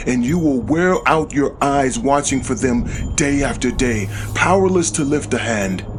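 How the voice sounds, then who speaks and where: A man's voice: medium pitch, background noise. A man narrating scripture as a dramatized audio Bible reading, solemn and declamatory in delivery. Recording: music behind the voice. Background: background music.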